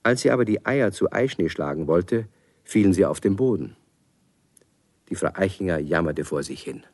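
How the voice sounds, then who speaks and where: A man narrating a scripted children's radio play in an expressive storytelling manner. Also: low-pitched, normal speed, no room sound. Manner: expressive, clearly phrased.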